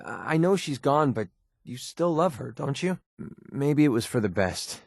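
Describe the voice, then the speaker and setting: An actor playing a guarded, wry and short-tempered young mercenary with a tender side in a video game, speaking informally. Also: male voice, mid-range voice, sing-song, vocal fry, no echo, studio quality. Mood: hesitant, sorrowful, tender. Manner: informal, halting when emotional. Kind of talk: scripted video game character dialogue.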